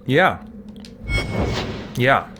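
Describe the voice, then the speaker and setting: A man's voice: medium pitch, big pitch swings, very slow, pushed and bright, faint music behind. A man live-streaming a video game, chatting casually with viewers and thinking aloud in a playful, informal way. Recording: clear background noise behind the voice.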